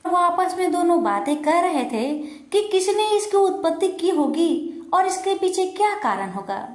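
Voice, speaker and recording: A woman's voice: very high-pitched, sing-song, a little slow. A woman storyteller narrating a mythological devotional tale, recorded with echoey room sound.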